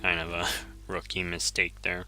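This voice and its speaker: A man's voice: low voice, monotone, normal speed, bright, forceful tone, soft background music. A man whose first language is Japanese, chatting easily while streaming a game, chuckling often and correcting himself as he answers viewers. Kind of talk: casual gameplay commentary, reading chat.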